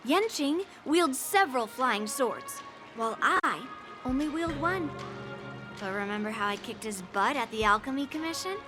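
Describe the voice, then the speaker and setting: Brash young swordswoman, anime game: high, unhurried, competitive, music underneath. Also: lively intonation. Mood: proud. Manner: blunt, cocky.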